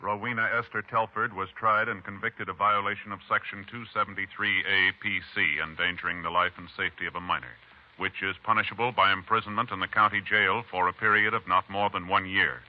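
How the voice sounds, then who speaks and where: A male announcer reading a formal epilogue and sign-off in a measured, authoritative way on a dull old radio broadcast. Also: deep voice, steady tone.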